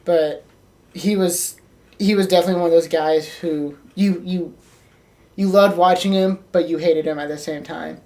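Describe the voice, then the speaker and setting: Low, mellow young woman, slightly distant. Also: steady tone, normal speed. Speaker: podcast co-host. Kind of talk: casual chat about pro wrestling.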